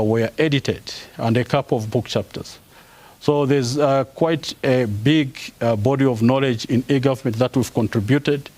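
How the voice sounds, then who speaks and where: A man in his forties from Zambia, giving a formal academic presentation as a professor. He pauses often on "uh" and restarts his phrases. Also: medium pitch, a little mellow. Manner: halting, with frequent "uh" pauses and restarts. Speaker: professor giving an inaugural lecture.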